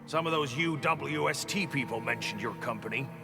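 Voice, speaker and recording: Man playing a gruff, creaky older shelter boss. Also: mid-range voice, normal speed, lightly acted. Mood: suspicious. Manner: suspicious, scolding. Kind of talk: video game voice acting. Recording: long reverb, music behind.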